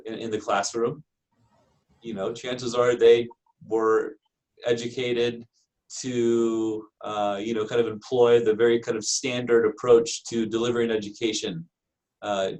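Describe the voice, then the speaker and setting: Halting American man giving a lecture. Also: mid-range voice, poor audio. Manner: halting, hesitant delivery with frequent filler phrases. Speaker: university lecturer in special education. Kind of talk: classroom lecture.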